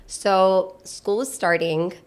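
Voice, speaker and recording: A chatty woman who grew up in Pakistan sits in as a podcast guest. She tells her stories in a loose, filler-heavy way and laughs as she goes, her voice sitting in a middle pitch. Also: steady tone, takes it slowly, clean tone. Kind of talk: casual storytelling chat.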